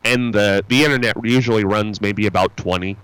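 A man's voice: on the low side, fairly quick, a bit bright, clean tone, low-quality recording. A male radio host chatting with callers in a folksy, rambling way, full of fillers and restarts. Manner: upbeat and folksy. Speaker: radio call-in show host. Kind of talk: casual call-in chat. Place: radio studio.

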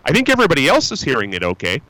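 Lively, chatty male radio host. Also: mid-range voice, very fast, no room sound, poor audio. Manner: rambling, chatty, with many fillers and restarts; upbeat and folksy. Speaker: radio call-in show host. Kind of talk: casual call-in chat. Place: radio studio.

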